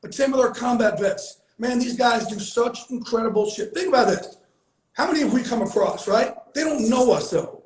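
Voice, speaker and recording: High-voiced emphatic man, echoey room. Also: moderate pace. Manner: emphatic, swears freely, repeats for emphasis. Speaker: host. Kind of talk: casual heartfelt conversation.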